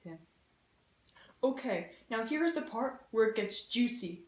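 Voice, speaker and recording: A woman's voice: a little high, lively intonation, a little slow. A young woman narrating a scripted educational puppet show in an informal, chatty explaining way. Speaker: student presenter. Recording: echoey room, slightly distant mic.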